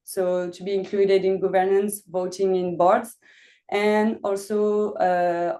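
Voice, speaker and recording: A woman with a French accent speaking on a webinar panel, pausing often with fillers as she explains her work. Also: on the low side, warm tone. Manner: hesitant, many pauses with "uh". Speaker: impact investment officer, panelist. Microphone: video call.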